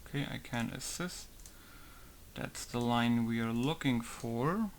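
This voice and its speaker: A man mumbling casual tutorial commentary at his computer with low-key humor. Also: slow, slight background noise. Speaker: game modder.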